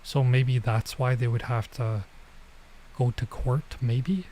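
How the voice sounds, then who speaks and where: A man streaming a visual novel, reading it aloud with casual commentary and thinking out loud as he goes. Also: medium pitch, steady tone, slow, a little hiss or hum. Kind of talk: let's play read-aloud with casual commentary.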